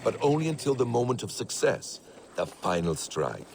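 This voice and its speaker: An actor playing a shrewd, composed conspirator in a video game, speaking in a measured, eloquent, theatrical way. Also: male voice, mid-range voice, big pitch swings, vocal fry, noisy background. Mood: sly, assured. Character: shrewd, composed male conspirator counselling a leader. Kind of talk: video game character acting.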